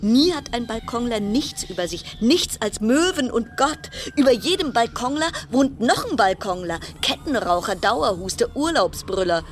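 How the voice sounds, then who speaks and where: A woman playing a wry, chatty cruise passenger travelling alone, musing with dramatic pauses in a radio play monologue. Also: on the high side, lively intonation, slight background noise, lightly acted.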